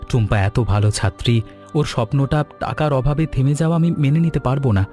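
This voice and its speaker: A man voicing a kind, generous gentleman customer in a cartoon story, speaking earnestly and with feeling. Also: medium pitch, fast, soft background music, natural voice. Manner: expressive, earnest, caring. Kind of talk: animated cartoon story dialogue.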